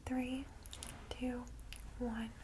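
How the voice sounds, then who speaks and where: Whispering woman, gentle ASMR vampire guide. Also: on the high side, flat delivery, very noisy. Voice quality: breathy unvoiced whisper. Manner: gentle, soothing, slow reassurance. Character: friendly, caring old-fashioned female vampire guide.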